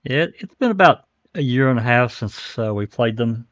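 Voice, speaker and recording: Man, podcast host, casual panel chat, agreeable, mid-pitched, muffled dry call audio. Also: animated, moderate pace, dry recording. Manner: agreeable, thanks each guest.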